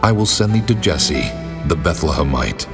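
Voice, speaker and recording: Deep, reverberant voice of God. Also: male voice, very animated, music in the background, full character voice. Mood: commanding. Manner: grave, slow, authoritative. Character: God, a majestic, commanding male divine voice. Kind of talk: dramatized scripture audio acting. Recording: long cavernous reverb.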